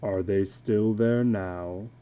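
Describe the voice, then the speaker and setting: A man's voice: lowish voice, steady tone, warm tone, clean tone, pitched below the performer's own voice. A man voicing a weary, middle-aged tattooed carnival drifter in a storybook reading, speaking in a heavy, resigned manner. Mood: haunted, sorrowful. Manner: slow, heavy, resigned delivery.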